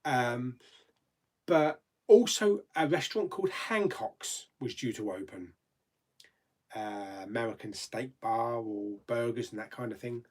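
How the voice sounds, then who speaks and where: A man's voice: mid-range voice, lively intonation, a little slow, darkish tone. A man hosting a casual live chat, rambling and reading out viewer comments, laughing easily and swearing mildly.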